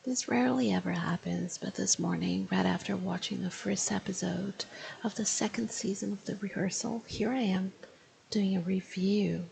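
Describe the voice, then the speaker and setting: Woman, 50s, very gravelly fry, mid pitch, deliberate explanatory vlog review. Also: darkish tone, rough recording. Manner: deliberate, explanatory, slowing to stress key phrases. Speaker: English teacher reviewing a TV show. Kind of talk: spoken video review.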